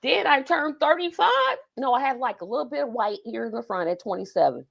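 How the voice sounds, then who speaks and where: A woman's voice: fairly high pitch, sing-song, brisk, vocal fry, a bit bright. A woman in her forties hosting a podcast interview, talking in a playful, self-teasing way. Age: 40s. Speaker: podcast host. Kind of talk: casual interview chat.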